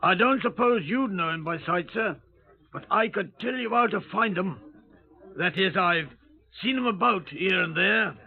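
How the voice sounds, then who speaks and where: A man's voice: high-pitched, animated, a little slow. A man playing a chatty, deferential Cockney taxi driver and police informant, rambling along in 1950s radio drama style. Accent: London Cockney. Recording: muffled narrowband old radio recording.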